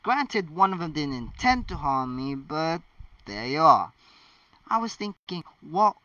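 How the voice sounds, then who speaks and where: Man reading as an eager, animated schoolboy. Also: fairly high pitch, measured pace, no echo, exaggerated voice. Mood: matter-of-fact. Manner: enthusiastic, matter-of-fact. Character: eager teenage schoolboy, cheerfully and absurdly logical. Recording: distant mic, dull lo-fi sound.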